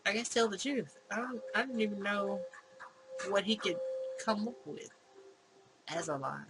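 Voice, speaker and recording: A let's play host narrates a visual novel in a medium-pitched voice. The delivery is slow, moving between story reading and offhand commentary. The recording has clear background noise. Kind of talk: let's play, dramatic read-aloud with casual asides.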